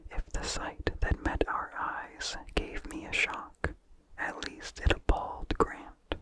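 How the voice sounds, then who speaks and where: A person reading a vintage horror story as ASMR in an unvoiced whisper, slowly and deliberately, in short phrases with pauses. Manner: slow, deliberate, pausing between short phrases. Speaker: narrator.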